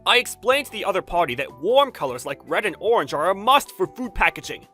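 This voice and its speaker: A man acting an excitable, flustered young designer. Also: mid-range voice, moderate pace, breathy edge, faint music behind. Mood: exasperated. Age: young adult. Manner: excitable, swings from reflective narration to exasperated shouting. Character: young male designer, stubborn, earnest, easily flustered. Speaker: narrator and lead character. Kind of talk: anime-style manga dub, dramatized first-person narration.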